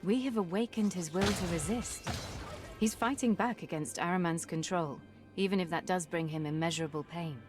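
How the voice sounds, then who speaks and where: A woman's voice: on the low side, moderate pace, natural voice. An actress voicing a pragmatic, hardened warrior woman in a fantasy video game, serious and direct. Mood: earnest. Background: game music.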